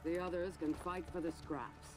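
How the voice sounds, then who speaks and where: An actor playing a taunting warlord who revels in war, with deliberate, theatrical menace, in a video game. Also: male voice, on the high side, fairly even intonation, close to an everyday voice. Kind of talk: dramatic game cutscene acting. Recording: music behind the voice.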